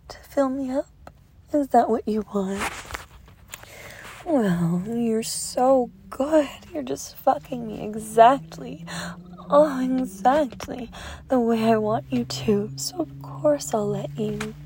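A woman speaks very slowly in a high, breathy voice that often slips into whispering. Her manner is sultry and teasingly dominant, as in intimate adult audio roleplay, with sighs and moans. There is faint noise behind her. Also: dark tone. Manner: moaning, sighing, slow sultry coaxing. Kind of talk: erotic audio roleplay, intimate partner address.

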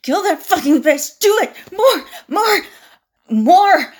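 A woman's voice: a little high, expressive, moderate pace, darkish tone, slightly rough. A woman playing a volatile, domineering, vengeful villainess in a dramatic audio roleplay. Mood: furious, commanding.

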